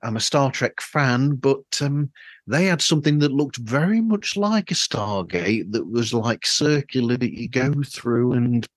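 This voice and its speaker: A man with a mid-range, very animated voice hosts a casual podcast chat. He rambles enthusiastically, and the sound is dry, from a flawed microphone. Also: medium pitch, a little slow, dry recording. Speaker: podcast host.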